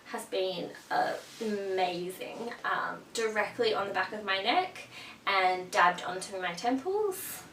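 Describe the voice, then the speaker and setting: A woman's voice: medium pitch, a bit bright. Sniffly, chatty woman. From Australia. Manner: chatty, gushing, many ums. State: sniffly with a cold. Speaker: vlogger. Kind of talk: casual product chat to camera. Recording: roomy, from a distance, faint hum.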